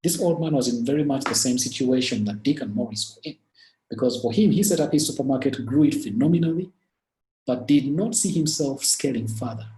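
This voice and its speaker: A man with a Ugandan accent, from Uganda, giving a webinar talk as the speaker and walking through business stories in an earnest, lively way. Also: medium pitch, a little fast. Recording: echoey room.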